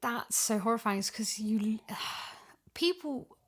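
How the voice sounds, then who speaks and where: A woman in a casual discussion, explaining her opinions in an animated way. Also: fairly high pitch, slow, breathy edge. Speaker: podcast guest, audio drama voice actor.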